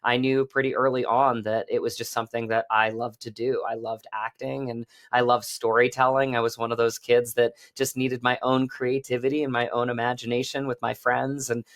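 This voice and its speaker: An American man speaks as an interview guest in a medium-pitched voice. His delivery is casual and conversational, with frequent little check-ins to the listener at the ends of phrases. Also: level tone, fairly quick, hardly any pauses, a bit bright. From Virginia, United States. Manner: conversational, often checks in with "right?" and "you know". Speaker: guest, actor.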